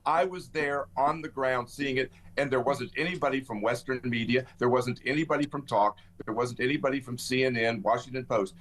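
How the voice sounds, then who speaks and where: A man's voice: medium pitch, fairly even intonation, a little fast, few pauses, fairly bright tone. A man debating as a guest on a TV panel, insistent and contrarian, pressing his points over others. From United States. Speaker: political commentator guest.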